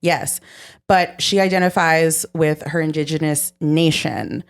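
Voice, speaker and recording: A woman with a lowish voice chatting as a podcast guest. She explains things earnestly and takes her time, as if walking a friend through an idea. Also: fairly even intonation, a little slow, clear, resonant tone. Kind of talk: casual podcast conversation.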